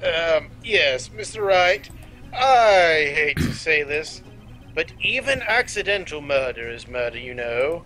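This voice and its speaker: A man's voice: on the high side, lively intonation, slow pace, pushed and bright, faint music behind, heavily acted. A young man voicing a fussy, pompous older male lawyer in a game dub, talking in a formal, old-fashioned way.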